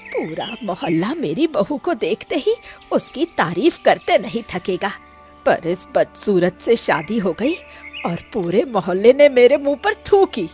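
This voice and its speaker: A woman's voice: mid-range voice, a little mellow. A woman voicing a bitter older mother-in-law in a cartoon story, harsh and taunting. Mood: bitter lament. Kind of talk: Hindi cartoon story dialogue.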